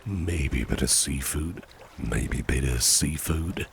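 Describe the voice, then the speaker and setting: Man acting extremely deep, gravelly, genial boss. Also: full character voice. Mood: attentive, reassuring. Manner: unhurried, coaxing, repeats his phrases. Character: genial, attentive male boss, courting his dinner date. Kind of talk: ASMR roleplay. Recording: clearly noisy background.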